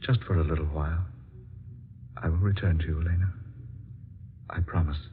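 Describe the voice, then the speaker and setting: Actor as deep-voiced scientist, old radio drama. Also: male voice, fairly even intonation, normal speed, natural voice. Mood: tender. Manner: formal, theatrical phrasing. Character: earnest, dignified male scientist doctor, hero in love. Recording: muffled, noisy old radio recording.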